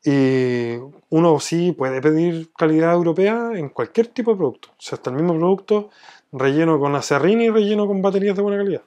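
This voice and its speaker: Chilean man with a slightly higher-pitched voice. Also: fairly quick, dark tone, dull audio. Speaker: business owner being interviewed. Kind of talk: conversational interview, explaining from experience.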